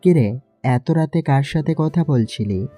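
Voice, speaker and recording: A very dark, mellow male voice of an actor plays a protective elder brother in an audio drama. He is stern and questioning, with soft music behind him. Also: medium pitch, animated, measured pace, close to an everyday voice. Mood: suspicious. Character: suspicious, protective elder brother, grown man. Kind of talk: Bengali audio story acting.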